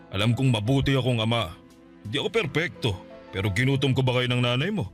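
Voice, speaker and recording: A man's voice: medium pitch, expressive, fast talker, faint music behind, natural voice. A man playing a boastful, manipulative middle-aged Filipino father in a radio drama, wheedling and self-righteous as he scolds. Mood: defensive, indignant.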